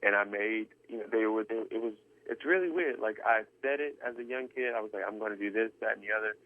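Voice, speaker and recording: Twenty-something texan guy, drawl, kinda low voice, rambles earnestly, sounds like he's on the phone. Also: fast talker. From Texas. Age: 20s. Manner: earnest, rambling with many restarts and fillers. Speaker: guest drummer. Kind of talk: casual interview chat. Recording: tinny phone line.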